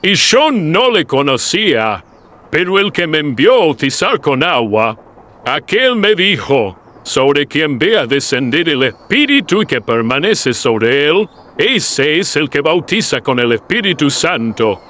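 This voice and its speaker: A man's voice: fairly high pitch, sing-song, a little slow, bright, forceful tone, full character voice. A man playing an earnest, solemn desert prophet in a Bible radio play, proclaiming with emphasis. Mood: fervent testimony.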